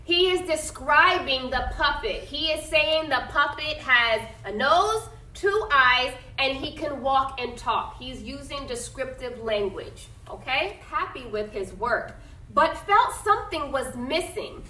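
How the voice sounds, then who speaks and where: A woman teacher reads a picture book to her class and keeps pausing to ask the children questions. Her voice is high and bright. She is heard from a distance in a reverberant classroom. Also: lively intonation. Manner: asks the class questions and checks in with "okay?". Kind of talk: classroom read-aloud lesson.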